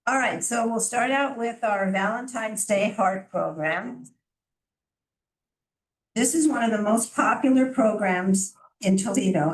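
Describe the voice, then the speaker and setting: A soft-voiced woman presenting to a city council as a chamber of commerce director, friendly and informal, with small restarts. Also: mid-range voice. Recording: echoey council chamber from far off.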